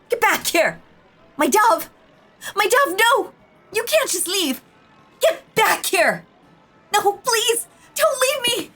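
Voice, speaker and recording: A woman's voice: very high-pitched, fairly bright tone, full character voice. A woman playing a possessive, sinister stage magician mistress in an audio roleplay, theatrical and full of silky menace. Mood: desperate, pleading. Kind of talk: dramatic audio roleplay acting.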